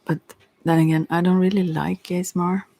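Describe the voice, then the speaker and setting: A woman hosts a soft-spoken tarot session in an ASMR manner. Her voice is noticeably creaky and sometimes whispery. She rambles in a relaxed, hesitant way, drifting into asides. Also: fairly low pitch, brisk, dry recording. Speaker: host, tarot reader.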